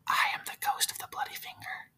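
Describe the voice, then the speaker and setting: A man voicing a ghost of a bloody finger in a breathy, unvoiced whisper from a distance. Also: a little fast, bright, forceful tone. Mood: eerie whisper.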